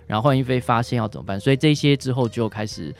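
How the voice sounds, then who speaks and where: A man's voice: medium pitch, fast talker, creaky, faint music behind. A man with a Taiwanese accent, a doctor explaining conversationally as a radio guest, often ending phrases with short tag particles. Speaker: doctor answering questions, guest.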